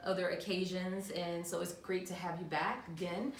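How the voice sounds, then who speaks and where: A woman acting as a family therapist, speaking calmly and asking measured, reflective questions. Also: lowish voice, roomy sound, slight background noise. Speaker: therapist in a role-played session. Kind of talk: counseling session role play.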